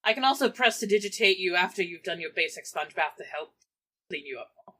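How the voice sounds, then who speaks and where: A woman's voice: lowish voice, lively intonation, a little fast, pushed and bright. A woman playing a tabletop roleplaying game, chatting casually and playfully in character.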